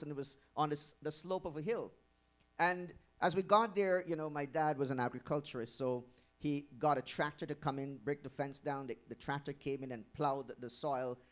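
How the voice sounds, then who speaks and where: A middle-aged man from Guyana, preaching a sermon into a handheld mic in a church hall, stressing key words, repeating them and asking the congregation questions. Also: a little high. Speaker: pastor. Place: church hall with curtained stage. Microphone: handheld microphone.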